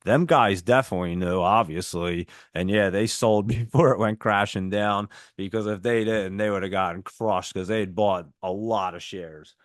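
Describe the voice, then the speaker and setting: Low smooth-voiced Jersey guy. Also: fairly even intonation, moderate pace, hardly any pauses, no room sound, studio quality. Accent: New Jersey. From New Jersey. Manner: chatty, reads viewer messages and ticker symbols. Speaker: stock trading livestream host. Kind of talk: casual live market chat.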